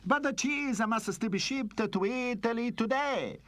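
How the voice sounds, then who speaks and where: A man voicing a bossy, excitable mouse gang leader in a cartoon, with an emphatic, scolding manner. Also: fairly high pitch, measured pace, no room sound. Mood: self-satisfied. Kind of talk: cartoon dub acting.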